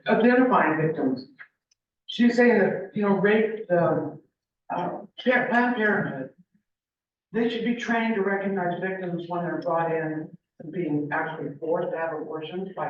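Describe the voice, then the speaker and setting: Man, big voice, fairly high pitch, audience member relaying a question; distant and muffled. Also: measured pace. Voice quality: big, carrying voice. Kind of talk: informal spoken question. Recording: muffled, echoey room, far from mic.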